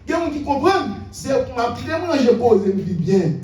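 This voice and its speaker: A male pastor with a Haitian accent delivers a fervent sermon in a very high voice, hammering on repeated phrases and dropping from shouts to near-mutters. He is heard from a distance in a reverberant church hall, with faint music behind him. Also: very animated, fairly quick, a little hiss or hum. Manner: fervent, repetitive preaching, swings between shouted bursts and low murmurs.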